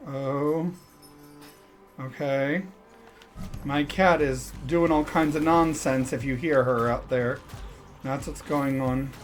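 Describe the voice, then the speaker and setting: A man's voice: medium pitch, fairly even intonation, slow, pauses often, soft background music. A man giving casual, self-deprecating and hesitant commentary as he streams a game.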